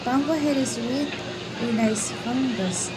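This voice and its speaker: A woman's voice: a little high, a little slow, very noisy. A woman giving casual, reactive playthrough commentary on a video game. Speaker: gameplay streamer. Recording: loud game music behind the voice.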